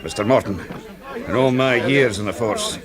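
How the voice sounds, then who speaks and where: A man's voice: lowish voice, moderate pace, crowd noise, lightly acted. An actor playing a respectful police officer, deferential to his superiors, in radio drama dialogue. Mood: impressed.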